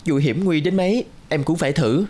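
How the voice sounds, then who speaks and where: A man's voice: fairly high pitch, a little fast, darkish tone, natural voice. Man as earnest brave young prince. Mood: determined.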